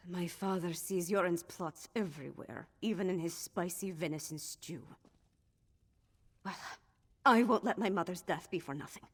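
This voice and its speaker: Here is a grieving young princess from a fantasy game. Her voice is slightly rough and breathy, and she speaks with earnest, intense determination and a strong will. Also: lowish voice, unhurried. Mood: bitter resolve.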